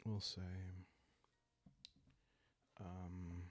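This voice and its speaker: A man speaking softly and calmly in ASMR style, improvising a story aloud as he hosts a solo roleplaying game, thinking out loud with long pauses. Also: deep voice. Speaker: host playing a solo journaling roleplaying game.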